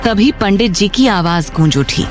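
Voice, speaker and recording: A female storyteller delivers scripted audio drama narration. Her voice is mid-pitched, with soft music running underneath. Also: lively intonation, a little slow.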